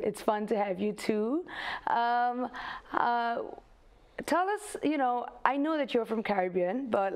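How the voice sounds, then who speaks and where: A woman's voice: mid-range voice, darkish tone. A woman from Nepal, hosting a radio interview in a chatty way, with fillers and laughs. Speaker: radio host. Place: radio studio.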